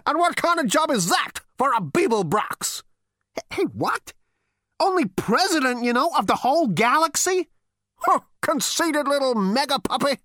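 Woman voicing a tiny hunched old ghost man, shrill, lofty, lecturing, pitch leaping around, dry close sound. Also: very animated, normal speed, a bit bright, dry recording. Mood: scornful. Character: crotchety ancient male ghost, small, hunched, scolding. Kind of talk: audiobook character voice.

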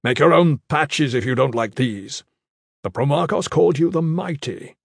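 Man voicing a gravelly, stern military commander. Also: on the high side, animated, moderate pace, no room sound, clean studio sound, voice pushed up, full character voice. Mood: stern, solemn. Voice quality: gravelly, husky. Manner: curt, commanding. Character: stern, gruff male military commander of an elite guard.

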